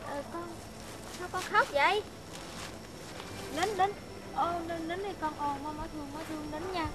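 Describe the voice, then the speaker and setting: A woman voicing a frail, tender old grandmother who talks softly and repeats soothing words. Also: very high-pitched, moderate pace, music in the background, pitched above the performer's own voice, poor audio.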